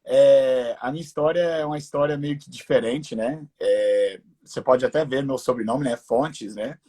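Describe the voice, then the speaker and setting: An American man who learned the language as a teenager, chatting relaxed in a live interview and often adding "né". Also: medium pitch, normal speed, breathy edge. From United States. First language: English. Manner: relaxed, laughing, tag questions with "né". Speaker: guest entrepreneur.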